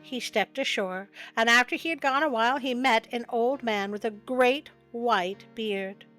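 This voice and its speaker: High-voiced woman storyteller over music. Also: a little slow, fairly bright tone. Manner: playful, wry commentary. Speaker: host of a storytelling show. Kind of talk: storybook reading with joking asides. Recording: music bed under the voice.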